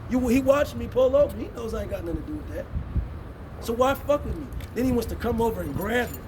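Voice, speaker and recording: A man's voice: very high-pitched, lively intonation, fast, far from the mic, crowd noise. A man in his thirties giving a blunt, impassioned speech outdoors at a protest, often asking "you see what I'm saying". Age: 30s. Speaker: protest organizer. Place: outdoors on a city street.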